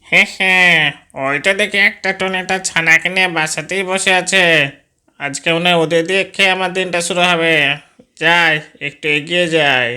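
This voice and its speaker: A scheming, hungry male fox from a kids' cartoon, acted out by a man. The voice is piercingly bright and sits a little high, with a sugary, coaxing delivery. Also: steady tone, moderate pace, clear, resonant tone. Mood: gleeful. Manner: sweet-talking, coaxing. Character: sly greedy male fox, wheedling and scheming. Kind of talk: children's cartoon voice acting.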